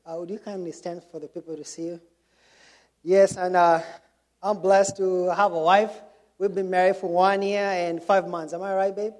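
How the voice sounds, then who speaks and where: A middle-aged man from Kenya with a Kenyan accent, telling his testimony to a church congregation in an unhurried storytelling way, with many pauses and fillers. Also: high voice, level tone. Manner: warm storytelling with frequent fillers. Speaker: missionary speaker.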